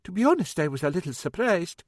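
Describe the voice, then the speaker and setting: A man's voice: high voice, very animated, fast, dry recording, clean studio sound, pitched above the performer's own voice. A man voicing a timid older Scottish woman, a fretful cook, with a nervous, fussy manner and a Scottish accent. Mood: anxious.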